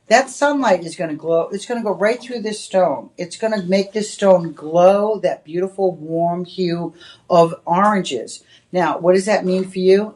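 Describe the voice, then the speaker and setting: A woman's voice: lowish voice, darkish tone. Chatty Boston woman selling on TV. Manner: chatty, rambling, with many "you know"s. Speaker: home shopping host. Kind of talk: live on-air sales pitch. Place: TV shopping studio.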